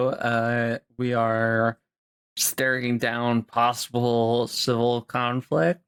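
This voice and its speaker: Gravelly blunt man from the States. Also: medium pitch, fairly bright tone. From United States. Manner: blunt, profane, nihilistic. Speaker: podcast co-host. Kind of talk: casual chat.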